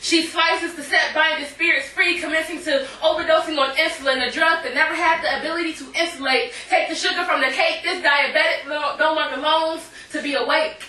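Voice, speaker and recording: A woman's voice: high-pitched, fairly even intonation, brisk, few pauses, pushed and bright. A woman poet performing a live spoken-word reading of verse. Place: indoor cafe poetry night. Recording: echoey live room, distant microphone.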